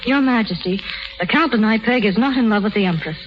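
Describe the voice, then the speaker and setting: An actress playing a plain-spoken, lovelorn duchess of common birth, halting and emotional, in 1930s radio style. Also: female voice, a little high, a little hiss or hum, lightly acted. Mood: earnest, defiant. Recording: muffled 1930s radio broadcast.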